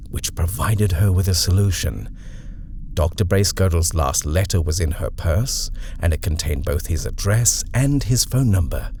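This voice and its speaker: A man narrating a horror short story in a measured, expressive reading. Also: deep voice, slight background noise.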